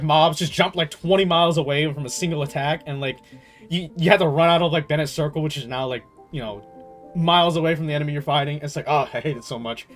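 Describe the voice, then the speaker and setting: A man streaming a game, chatting casually and rambling through his thoughts in slangy, stop-start talk with some swearing. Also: on the high side. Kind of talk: casual livestream chat, rambling gaming commentary. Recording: music playing behind the voice.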